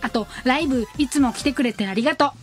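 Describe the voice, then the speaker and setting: A woman acts out a sweet young idol in a radio comedy skit. Her voice is high and cutesy, politely coaxing, with faint music behind. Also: fast, natural voice. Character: sweet young female voice-actress idol, gently chiding.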